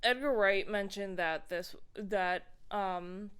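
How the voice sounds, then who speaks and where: A woman's voice: medium pitch, slow, a bit bright, clean tone. A woman co-hosting a podcast, discussing films in casual conversation. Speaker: podcast co-host.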